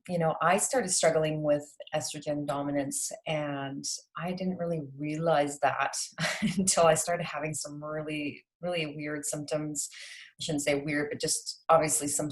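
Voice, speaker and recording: A woman's voice: sits low, little pitch movement, unhurried, low-quality recording. A woman in her late forties from Canada, a health coach talking as a podcast guest in a chatty way with many fillers. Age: late 40s.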